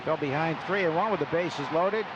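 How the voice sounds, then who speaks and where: Higher-voiced baseball announcer, man, noisy broadcast. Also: brisk, dull audio. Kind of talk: live radio sports commentary with sponsor reads. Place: stadium broadcast booth. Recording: noisy live ballpark radio broadcast.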